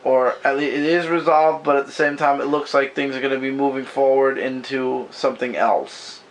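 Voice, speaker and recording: A man losing his voice and sounding hoarse, chatting loosely about comics from behind the camera in a bedroom. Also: mid-range voice, fairly even intonation, normal speed, slight background noise, low-quality recording. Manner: rambling, loose and self-correcting. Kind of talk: casual comic book review chat. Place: bedroom at home. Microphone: camera mic, speaking from behind the camera.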